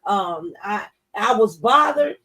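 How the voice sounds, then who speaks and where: A woman's voice: medium pitch, expressive, slow pace, bright, forceful tone, distant mic. A mature woman in a faith-based conversation, speaking earnestly and a little like a preacher, repeating phrases for emphasis. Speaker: talk show guest.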